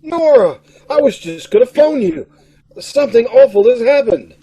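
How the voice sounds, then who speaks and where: A man's voice: very high-pitched, big pitch swings, normal speed, crowd noise, rough recording. A man playing a distraught, fretful young fellow in an old-time radio mystery. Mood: anxious, distraught. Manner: fretful, melodramatic.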